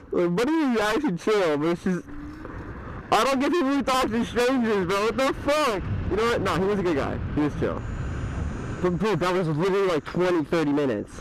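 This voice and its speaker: Male POV ride vlogger, higher-pitched, casual and sweary, says "bro" often, street vehicle noise behind. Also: lively intonation, brisk, rough recording. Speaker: vlogger riding an electric scooter. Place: outdoors on a street, riding a scooter. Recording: outdoor street recording with clear vehicle noise.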